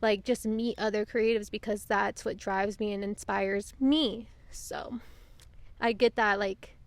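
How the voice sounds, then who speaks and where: A woman's voice: mid-range voice, fairly even intonation, a little slow, dry recording. A young woman hosting a casual podcast chat outdoors on a couch, loose and chatty with lots of fillers. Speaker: podcast host.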